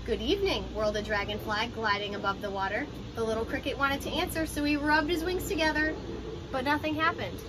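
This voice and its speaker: A young adult woman reading a children's picture book aloud in an expressive, child-directed way, like a teacher. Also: high-pitched, fairly quick. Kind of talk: children's storybook read-aloud. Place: outdoors on a porch by glass doors. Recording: ambient outdoor noise behind voice.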